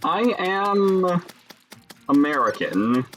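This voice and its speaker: A young man from Chicago with a Chicago accent, chatting casually with viewers during a game stream and musing between pauses. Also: high voice, very animated, fairly bright tone, a little hiss or hum. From Chicago, Illinois. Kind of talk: casual live-stream chat while gaming.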